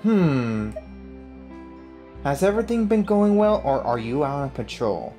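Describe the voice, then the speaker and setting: Man, gaming YouTuber, very lively intonation, unhurried, casual, excitable, reactive, game music behind. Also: a little high. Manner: excitable, reactive, repeats himself. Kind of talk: casual game playthrough commentary.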